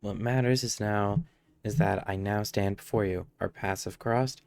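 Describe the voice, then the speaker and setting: A man's voice: fairly low pitch, normal speed, creaky, a little mellow, no room sound. A man streaming a game, reading dialogue aloud and commenting casually as he plays. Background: game music.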